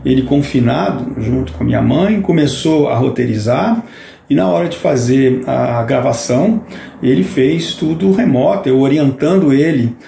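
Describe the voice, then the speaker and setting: A man's voice: mid-range voice, moderate pace, vocal fry, dark tone. A middle-aged Brazilian man giving a reflective spoken testimonial. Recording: roomy echo, background noise.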